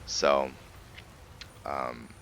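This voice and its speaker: A man giving casual commentary on his game playthrough, thinking aloud in a halting way. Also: rumbling low, level tone, vocal fry, fairly bright tone, slight background noise. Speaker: gaming video host.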